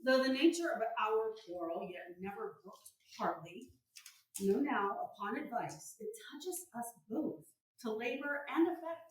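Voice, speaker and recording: A woman acting a genial, scheming gentleman suitor in a staged Shakespeare comedy, persuasive and conspiratorial. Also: a little high, animated, measured pace. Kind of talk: theatrical staged reading of Shakespeare. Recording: echoey room, recorded from far off.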